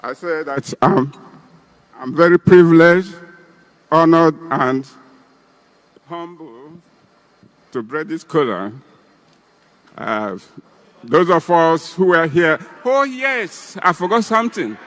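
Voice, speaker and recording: A man's voice: on the high side, expressive, slow pace, long pauses, a bit bright, long reverb tail. A man in his eighties with a Nigerian Igbo accent, giving a ceremonial speech as an elder breaking kola nut. He rambles and repeats himself. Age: 80s.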